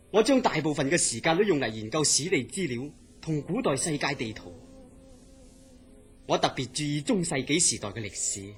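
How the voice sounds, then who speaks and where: A man acting a troubled, obsessed dreamer in a horror radio drama, speaking Hong Kong Cantonese in an earnest, dramatic way. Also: mid-range voice.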